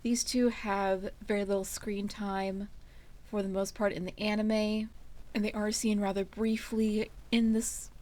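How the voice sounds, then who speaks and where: A woman's voice: mid-range voice, flat delivery, unhurried, darkish tone. A woman hosting and narrating a fan fiction reading in a casual, storytelling style. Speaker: fan fiction reader and channel host.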